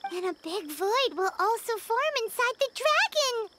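Squeaky, excitable little fairy. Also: female voice, a little slow, very noisy, exaggerated voice. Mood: excited. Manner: exclamatory, refers to herself in third person. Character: tiny floating childlike fairy companion, excitable, easily confused. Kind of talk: video game voice acting.

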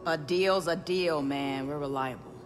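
A woman's voice: deep voice, a little slow, background noise. A woman playing a cocky, menacing gang leader in a video game, blunt and slangy. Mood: cool menace. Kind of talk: video game cutscene acting.

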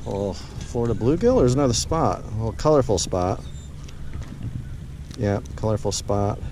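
Man muttering outdoors in loud wind. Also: lowish voice. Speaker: angler, vlog host. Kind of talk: casual off-the-cuff fishing commentary. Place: outdoors on bridge and canal bank. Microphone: action camera worn on body.

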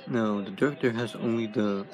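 A man's voice: on the low side, steady tone, moderate pace, darkish tone, close to an everyday voice, low-quality recording. A man voicing a laid-back male robot toy hero in a home toy video, talking with lots of fillers and restarts. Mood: easygoing. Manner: rambling, many fillers.

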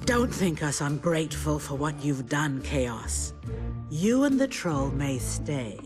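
Slow, imperious evil queen. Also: low voice, big pitch swings, over music, full character voice. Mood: haughty. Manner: imperious, deliberate. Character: regal golden evil queen, haughty and scheming. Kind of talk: animated game cutscene villain acting.

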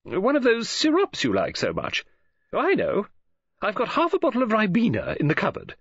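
A man voicing a chatty, excitable middle-aged woman novelist who talks in a gushing, coaxing way. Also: normal speed, no room sound, heavily acted. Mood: fussing. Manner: gushing, coaxing, high breathy falsetto. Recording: dull lo-fi audiobook recording.

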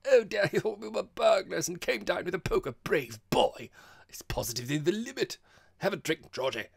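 A man voicing a boisterous, slangy young woman who teases loudly in an audiobook comic novel. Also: a little high, fast, pitched above the performer's own voice. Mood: gleeful, mocking. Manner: loud exclaiming, slangy.

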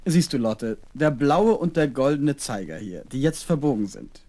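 A man's voice: mid-range voice, lively intonation, normal speed, dark tone, close to an everyday voice. A man playing a kindly doctor and father in a seventies children's audio drama. He speaks to his little girl with patience and playful courtesy, in careful acted phrases. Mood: patient, amused. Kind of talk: 1970s children's radio play acting.

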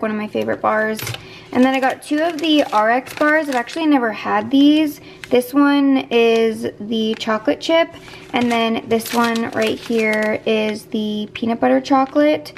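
A woman's voice: a little high, vocal fry. A woman in her twenties with a slightly scratchy voice, chatting casually to her viewers in a home vlog. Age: 20s. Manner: informal, frequent "like" as filler. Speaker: lifestyle vlogger. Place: indoors in a home room.

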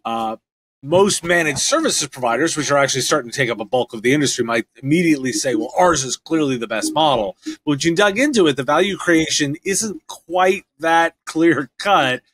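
A middle-aged man with a slightly high voice hosts an interview show. He puts his questions in a conversational way, speaking indoors in a home studio. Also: fairly quick, a bit bright, husky, rough recording. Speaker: podcast host, interviewer.

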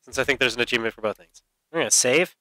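Bright-voiced man casually playing a game. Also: mid-range voice, rapid-fire, dry recording. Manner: sweary, talks to himself. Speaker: let's play host. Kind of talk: casual gameplay commentary.

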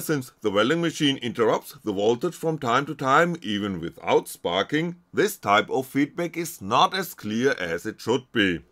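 A man's voice: medium pitch, fairly bright tone. A man reading scripted technical narration as a maker presenting his own project.

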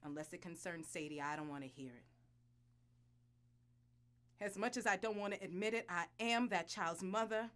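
Woman acting anguished wife, bright forceful voice. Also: lowish voice, natural voice. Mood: weary, anguished. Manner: intense, accusing, deliberate. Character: bitter, anguished wife and reluctant adoptive mother, devout. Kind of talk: dramatic audio drama acting. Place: small recording studio. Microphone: studio condenser mic.

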